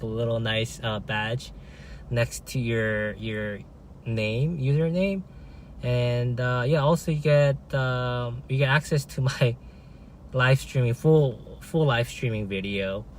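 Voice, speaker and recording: Man, native Korean speaker, casual live-stream host, halting with many fillers, faint background noise. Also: mid-range voice. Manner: halting, many fillers and restarts, frequent "right?". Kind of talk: casual live-stream chat, reading viewer comments.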